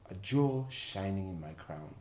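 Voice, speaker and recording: A man's voice: low-pitched, lively intonation, normal speed, warm tone. Man reading as cool, regal night queen, echoey. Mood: cold, grand. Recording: echoey room with faint background noise.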